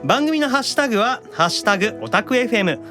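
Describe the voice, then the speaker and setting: A man hosting a radio show in upbeat, animated chat with frequent agreeing interjections. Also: high voice, fast, over music.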